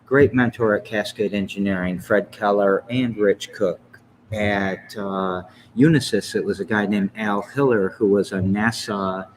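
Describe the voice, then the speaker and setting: A man's voice: on the low side, fairly even intonation, a little slow, slight background noise, rough recording. A man in his fifties from Michigan, hosting a casual interview and rambling through his life story with chuckles and self-corrections. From Lansing, Michigan. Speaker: podcast host.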